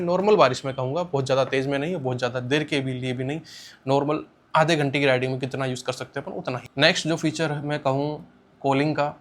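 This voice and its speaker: An Indian man giving a casual spoken product review, explaining things plainly and repeating points for emphasis. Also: mid-range voice.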